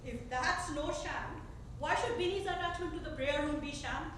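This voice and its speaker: A woman's voice: sits high, moderate pace, a bit bright. Woman acting a defiant grieving mother, echoey hall. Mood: reproachful. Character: grieving, unwell mother, quietly defiant, bitter. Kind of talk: staged reading of a play. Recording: echoey theater from far off, faint noise.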